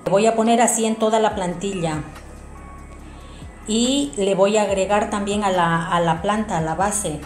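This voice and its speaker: A woman presents an informal craft tutorial, talking straight to viewers as she explains the steps. Her voice is mid-pitched and echoes in a domestic room, with music playing behind her. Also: a little slow. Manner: explanatory, addressing viewers directly. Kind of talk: informal hands-on tutorial narration. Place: small domestic room indoors. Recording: roomy echo, music behind.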